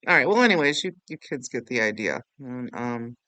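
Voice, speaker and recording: A man hosting a casual software walkthrough in an informal, rambling, self-deprecating way. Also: medium pitch, expressive, brisk, no room sound. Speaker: tutorial host.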